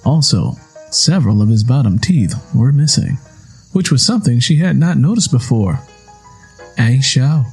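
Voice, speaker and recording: A man's voice: medium pitch, very animated, moderate pace, creaky, a little mellow, slightly rough, faint music behind. A man reading a story aloud as scripted audiobook narration.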